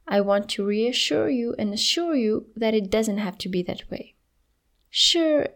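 A woman's voice: on the high side, vocal fry, a little mellow. A woman hosting a podcast, giving encouraging, reassuring advice in a solo talk. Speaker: podcast host.

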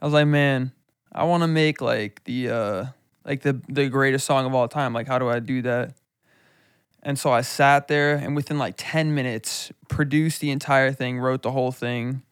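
A man's voice: medium pitch, steady tone, moderate pace, clean tone, no room sound. A young man hosting a casual podcast in a home studio, teasing his guest and slipping into mock-formal speeches. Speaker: podcast host.

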